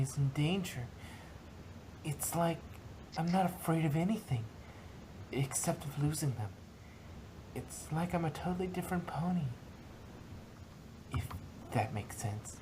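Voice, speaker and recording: A woman voicing a shy, gentle female pegasus pony in a fan fiction reading, speaking hesitantly and earnestly. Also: low voice, normal speed, long pauses, lightly acted. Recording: noisy home microphone recording.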